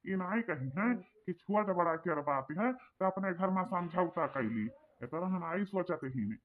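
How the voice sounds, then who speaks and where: A man's voice: high-pitched, lively intonation, measured pace. A man playing an exasperated village headman in a comic cartoon, questioning and complaining with an eastern Uttar Pradesh accent. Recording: tinny, phone-like narrow audio.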